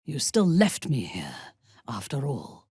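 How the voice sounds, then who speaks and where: A woman playing a haughty, ruthless dark elf warrior in a fantasy video game, speaking in a measured, imperious, formal way with a breathy, husky voice. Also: very deep voice, wildly swooping pitch, no room sound, clean studio sound, full character voice. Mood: cool, cutting. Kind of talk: scripted video game character lines.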